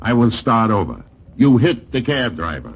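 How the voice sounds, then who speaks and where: Scheming New York boxing hustler, husky, persuasive and slangy, dull vintage radio sound. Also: male voice, on the low side, sing-song, moderate pace. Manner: persuasive, slangy, formal wording. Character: fast-talking New York fight-promoter uncle, pushy schemer. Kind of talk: 1940s radio comedy acting. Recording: dull, band-limited 1940s radio recording.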